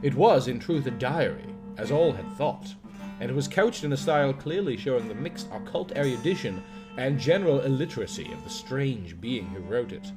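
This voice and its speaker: Guy reading a horror audiobook, formal measured delivery, average pitch, guitar music playing under it. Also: animated. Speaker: audiobook narrator. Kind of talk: scripted literary narration of a horror story.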